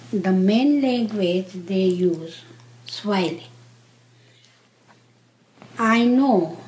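Slow-speaking grandmother in her seventies. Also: medium pitch, a little mellow, poor audio. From Kenya. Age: 70s. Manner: halting, simple phrasing with pauses. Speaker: interview guest, grandmother. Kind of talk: slow casual interview answers.

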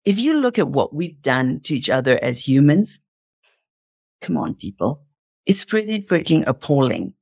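A woman's voice: sits low, very animated, normal speed, creaky, darkish tone, husky, dry recording, clean studio sound. A woman speaking as an interview guest, explaining in an impassioned motivational style and repeating phrases for emphasis.

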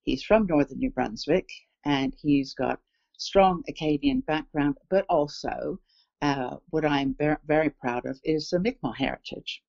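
An older woman with a blend of southern English and Canadian accent tells a story in a wandering, chatty way, stopping often for fillers and restarts. Her voice has a gravelly fry to it. The recording is dull and dry, like a lo-fi remote call. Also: deep voice, expressive, unhurried, darkish tone, dry recording. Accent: mix of southern English and Canadian. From England, raised in Canada. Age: 60s. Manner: rambling, many fillers and self-corrections. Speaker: guest telling an encounter. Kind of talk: casual storytelling.

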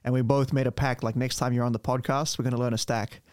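A man from Romania hosts a podcast in a relaxed conversational style. He is a native speaker of Romanian with a mid-range voice and phrases things informally and a little haltingly. The sound is dry, with no room around it. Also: medium pitch, no echo. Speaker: podcast host. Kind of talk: casual conversation.

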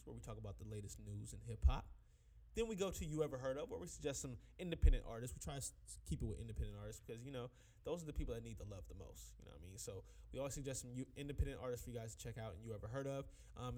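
A man's voice: mid-range voice, mellow. A young man hosting a hip-hop podcast in casual, slangy chat, a bit sick and sniffly. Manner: laid-back slangy talk, quick and loose. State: under the weather, sniffly. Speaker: podcast host.

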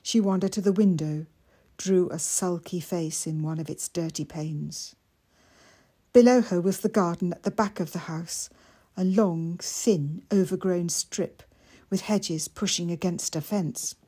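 A woman reading a story as scripted narration, in a measured and expressive storytelling manner. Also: fairly low pitch, slightly rough. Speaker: author reading own fiction.

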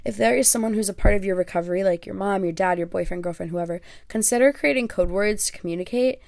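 A young woman, Greek by first language, hosts a solo podcast in a rambling, self-deprecating chat full of little fillers. Her voice has a gravelly, fry-tinged texture at an ordinary pitch. The sound is dry and close, with no room around it. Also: fast talker, a little mellow, no room sound. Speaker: podcast host. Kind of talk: casual solo podcast talk.

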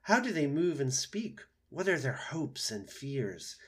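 A man's voice: a little high, big pitch swings, unhurried, slightly rough, pitched above the performer's own voice, full character voice. A man voicing a chatty, friendly female opossum with a theatrical, exaggerated delivery for a storybook read-aloud. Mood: theatrical, proud.

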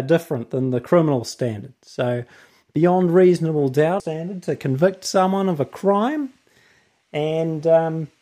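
New Zealand man hosting a solo talk on law, slightly high, warm and mellow voice, digressive delivery. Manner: digressive, wanders off and finds his thread again. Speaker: host, legal author. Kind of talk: casual explanatory monologue.

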